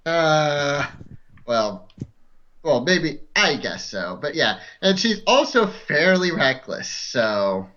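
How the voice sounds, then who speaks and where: A woman chats casually as a player in a tabletop game. Her voice is bright and swings widely in pitch, with a hesitant, joking delivery. The recording is a little dull and lo-fi. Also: very animated, slow pace.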